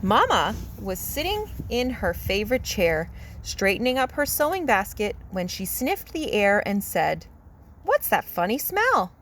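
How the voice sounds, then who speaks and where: A woman's voice: mid-range voice, very animated, a little slow, slight background noise, poor audio. A woman reading a children's picture book aloud in a simple, teacherly, explanatory manner. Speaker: read-aloud storyteller.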